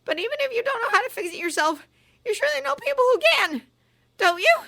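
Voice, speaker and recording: A woman voicing a shrill, pompous middle-aged witch official who shrieks and screams. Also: very animated, fast, creaky, pushed and bright, heavily acted. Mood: shrill pleading. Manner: shrieking, pleading then screaming.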